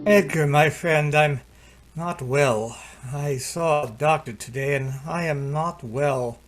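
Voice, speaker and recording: Guy acting a sickly 55 year old husband, high voice, kinda grand and generous, old radio show style. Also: takes it slowly. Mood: weary, frail. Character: ailing, hearty, generous husband of fifty-five.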